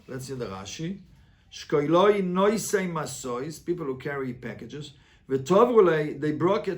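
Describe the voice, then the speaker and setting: A man teaching an interactive Talmud class as a rabbi, reading the text and translating it phrase by phrase with animated repetition and rhetorical questions. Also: fairly high pitch.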